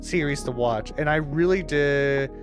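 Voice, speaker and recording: Guy streamer chatting w his viewers, bit high pitched, informal n teasing, clear music in the background. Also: unhurried. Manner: informal, sweary, teasing banter with chat. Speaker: livestreamer hosting a watch-along. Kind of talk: casual livestream chat with viewers.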